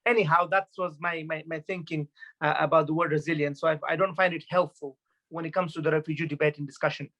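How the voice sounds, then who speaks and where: A man from Afghanistan in his thirties shares his thoughts as a guest speaker. He talks fast and earnestly, often restarting sentences and dropping in fillers. His voice sits a little high for a man. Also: fairly even intonation, pushed and bright, highs cut off. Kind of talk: personal talk at an online event.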